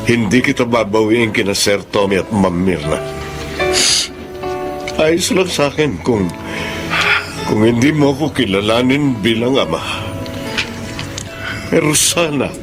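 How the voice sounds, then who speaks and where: Radio drama: male actor as penitent Filipino father, ex-convict, mid-range voice, earnest and pleading, clear music bed. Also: medium pitch, animated, unhurried, long pauses, close to an everyday voice. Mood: pleading, tender. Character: remorseful middle-aged father, ex-convict, pleading and gentle.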